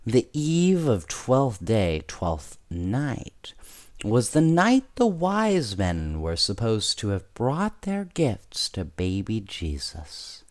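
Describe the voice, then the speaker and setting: Storybook role: gentle, plump old lady knitting teacher played by a male reader, slow and fondly explanatory. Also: lowish voice, wildly swooping pitch, heavily acted. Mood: explaining.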